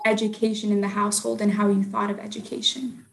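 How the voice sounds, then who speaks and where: A woman's voice: mid-range voice, little pitch movement, fast, creaky, rough recording. A young woman interviewing someone for an oral history, asking prepared questions politely and carefully.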